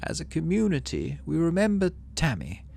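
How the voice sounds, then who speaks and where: A man voicing a solemn female colony governor in a game, speaking a funeral eulogy in slow, measured, grave oratory. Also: a little high, huge pitch swings, vocal fry, darkish tone, dry recording, pitched above the performer's own voice, heavily acted. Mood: solemn, grieving.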